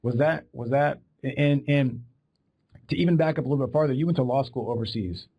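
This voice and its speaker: A man's voice: mid-range voice, a little fast, warm tone, a little hiss or hum. A man hosting a podcast interview, chatting in an agreeable, conversational way and often repeating words. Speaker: podcast host. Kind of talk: casual interview talk.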